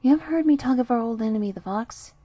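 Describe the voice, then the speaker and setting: An aging mother pig in a storybook speaks with a raised, anxious voice, earnest and fond as she warns her young, as a woman narrator puts her on. The tone is mellow and warm. It is recorded close and dry. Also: fairly high pitch, quick pace, no echo, pitched above the performer's own voice, lightly acted. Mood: pleading. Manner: earnest and warning.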